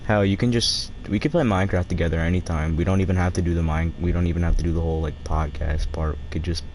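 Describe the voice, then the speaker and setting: A man with a deep voice chatting casually and crudely while streaming a game, rambling and swearing as he plays. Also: fairly even intonation, quick pace, darkish tone, a little hiss or hum. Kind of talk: casual live-stream chat while gaming.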